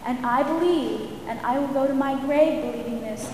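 A librarian, a woman with a naturally soft voice pushing herself to speak louder, gives an earnest, firm public comment at a hearing. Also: sits high, moderate pace. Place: large public meeting hall. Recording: echoey hall with room noise.

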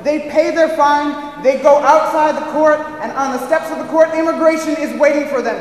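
A man's voice: extremely high pitch, fairly even intonation, normal speed. A man gives an impassioned, rousing public speech as a community activist at a hearing. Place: large public meeting hall. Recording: echoey hall from a distance.

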